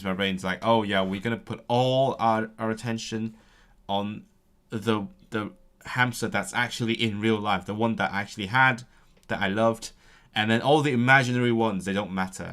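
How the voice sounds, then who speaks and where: A man's voice: on the low side, a bit bright. A young man chatting casually on a live stream while he studies, breaking off to repeat practice words aloud. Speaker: language learning streamer.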